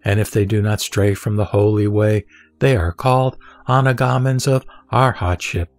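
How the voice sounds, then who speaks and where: A man giving a measured, solemn reading of a Buddhist scripture. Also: lowish voice, fairly even intonation, dry recording. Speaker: sutra reciter. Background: soft background music.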